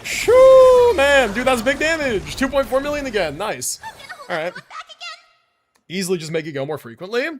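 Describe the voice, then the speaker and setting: A man with a high, very animated voice is streaming a game. He talks casually, works out his moves aloud and answers chat, with plenty of slang and swearing. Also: normal speed, background noise. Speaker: game livestreamer. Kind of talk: casual gameplay commentary with chat replies.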